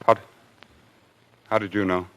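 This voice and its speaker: A low-pitched man acting as a haunted big game hunter in a vintage radio thriller. He is dramatic and tense, hesitating defensively as if burdened by guilt. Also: flat delivery, normal speed, a bit bright, lightly acted, low-quality recording. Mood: uneasy.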